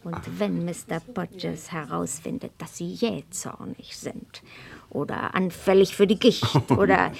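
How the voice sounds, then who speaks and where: A woman playing a witty, gossipy high-society hostess in a radio play, with breezy, theatrical aristocratic chatter. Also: fairly even intonation, measured pace, gravelly. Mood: teasing, amused.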